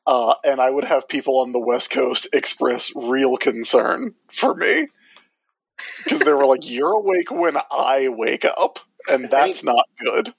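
A man's voice: mid-range voice, lively intonation, unhurried, vocal fry, breathy edge, no echo, rough recording. A man in his forties co-hosting a podcast, joking and self-deprecating in casual chat. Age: 40s. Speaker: podcast co-host.

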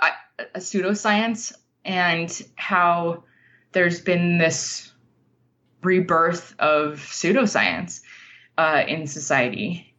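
Slow, low-voiced woman in casual conversation. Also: fairly bright tone, dull audio. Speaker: interview guest, nonfiction writer.

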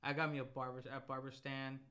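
A man's voice: medium pitch, level tone, quick pace, bright, forceful tone. A man, co-hosting a podcast in a laid-back, slangy, rambling chat. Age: 20s. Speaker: podcast co-host. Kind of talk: casual chat.

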